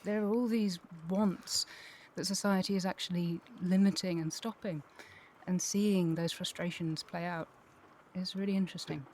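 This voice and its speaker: Reflective, slightly low-pitched woman. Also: steady tone, normal speed, a little mellow, slight background noise. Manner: reflective, articulate. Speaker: podcast guest. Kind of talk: thoughtful conversational discussion.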